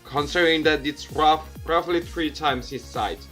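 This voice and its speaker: A man's voice: on the high side, moderate pace, bright, forceful tone. A young man hosting a gaming video, reading visual novel narration aloud with asides and stumbling over repeated words. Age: young adult. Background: music.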